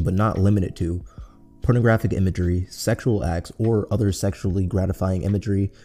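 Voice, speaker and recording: A man reads a scripted video essay in an earnest, critical way. His voice is low and very dark, without any sharp edge. Music runs underneath him.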